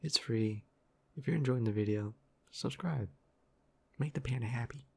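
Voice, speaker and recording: A man's voice: medium pitch, moderate pace, vocal fry, very noisy. A man who hosts a channel, giving a short plug asking viewers to subscribe. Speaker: channel host giving a promo.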